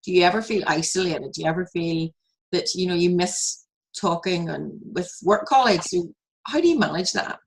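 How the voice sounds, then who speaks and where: A woman's voice: fairly low pitch, normal speed, rough recording. A woman hosting an interview podcast, chatting in a loose, conversational way with frequent restarts. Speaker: podcast host.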